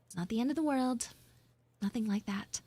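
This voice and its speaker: A woman's voice: a little high, normal speed, dark tone, breathy edge, no room sound. A woman voicing a flustered, shyly smitten tsundere schoolgirl in a live audio roleplay, hesitant and coyly defensive.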